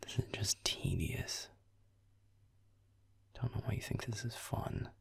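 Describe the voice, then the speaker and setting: Man acting a gravelly, grumpy young werewolf boyfriend. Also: fairly low pitch, slow, dry recording, full character voice. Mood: grudging, muttering. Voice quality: gravelly, breathy near-whisper. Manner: muttering, grudging, sarcastic, sweary. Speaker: audio roleplay performer. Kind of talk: intimate audio roleplay over gameplay.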